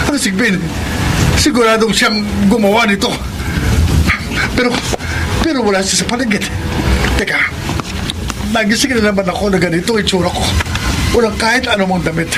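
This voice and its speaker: An actor playing a frightened man who discovers he is a monster, talking to himself dramatically and haltingly in a radio horror drama. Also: very high voice, low-quality recording. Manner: stammering, dramatic, talks to himself.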